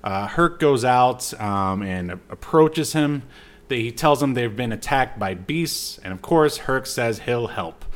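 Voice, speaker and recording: A man's voice: medium pitch, lively intonation, a little slow. A man co-hosting a podcast, giving a casual film recap in a conversational, hesitant way with trailing asides. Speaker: podcast co-host.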